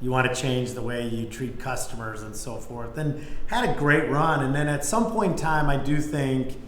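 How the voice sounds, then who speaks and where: A man's voice: mid-range voice, normal speed, a bit bright. A middle-aged man, an interview guest, telling anecdotes in an informal conversational way. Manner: informal, peppered with "you know" and "like". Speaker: business executive, interview guest. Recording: echoey room, faint background noise.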